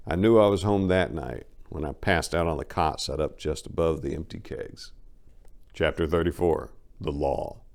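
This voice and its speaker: A man reading a first-person story as dramatic audiobook narration, in a folksy, colloquial storytelling manner. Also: low voice.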